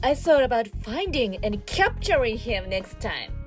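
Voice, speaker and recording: A woman's voice: a little high, sing-song, a little slow. A woman playing an earnest, kind-hearted young amateur sleuth in a radio drama, sincere and hesitant. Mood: hesitant, evasive. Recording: music behind the voice.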